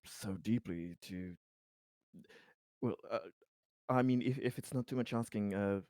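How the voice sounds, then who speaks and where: A man's voice: fairly low pitch, a little slow, darkish tone, no room sound, clean studio sound, lightly acted. A man improvising a hesitant, polite, earnest colony official in a sci-fi roleplaying game, stammering and deferential. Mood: baffled, concerned. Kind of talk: improvised in-character tabletop roleplay.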